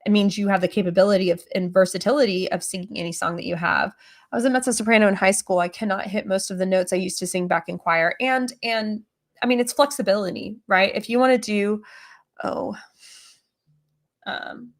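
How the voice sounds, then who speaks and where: A young adult woman chatting casually on a livestream, rambling with many fillers, her voice lower than usual today. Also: steady tone, brisk. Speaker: streamer answering chat.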